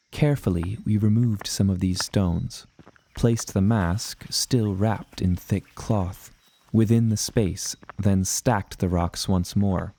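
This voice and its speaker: An earnest adventurer looking back on his journeys for an audio drama. The voice sits very low and has a soft, mellow tone. He tells the story in a measured, literary, reflective way. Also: no echo. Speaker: narrating character. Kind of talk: scripted first-person audio drama narration.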